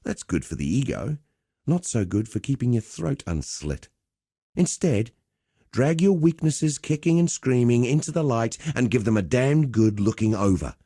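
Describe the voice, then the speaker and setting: An actor playing a roguish, cheerful veteran pit fighter in a video game, talking in a colloquial, wry, swaggering storyteller's way. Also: male voice, mid-range voice, animated, moderate pace, warm tone, no room sound, clean studio sound. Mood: wry mentoring. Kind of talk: scripted game dialogue.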